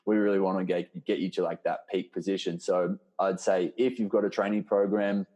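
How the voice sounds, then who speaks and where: A man with a deep, mellow voice coaches a group over a casual online call. He sounds informal and reassuring, encouraging the people he talks to. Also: steady tone, brisk, lo-fi sound. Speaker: host and fitness program coach. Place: live online video call.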